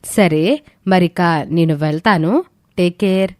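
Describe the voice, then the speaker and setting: A woman's voice: on the low side, slow, a little mellow, no echo, clean studio sound. A woman voicing a caring, encouraging teacher in a dramatised moral story, kindly and reassuring.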